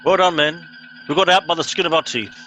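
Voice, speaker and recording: Man acting English male captain, middle-aged, pompous, blustering, clipped commands, comic radio play, highish voice. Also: fairly quick, pushed and bright, faint music behind, low-quality recording. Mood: self-satisfied.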